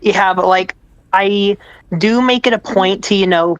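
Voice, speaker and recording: A woman who writes ASMR scripts, speaking on a podcast panel in a hesitant, halting way. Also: mid-range voice, no echo, highs cut off. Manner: hesitant, halting, self-deprecating. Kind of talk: casual chat.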